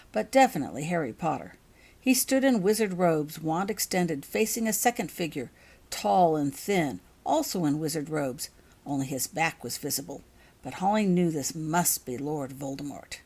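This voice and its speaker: A woman's voice: lowish voice, a little mellow. A woman reading her own fantasy fiction aloud as a scripted audiobook narration.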